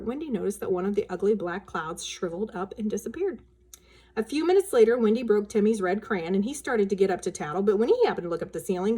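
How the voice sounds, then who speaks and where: Female storyteller for a children's book read-aloud, expressive, changing her voice for dialogue. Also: medium pitch, husky.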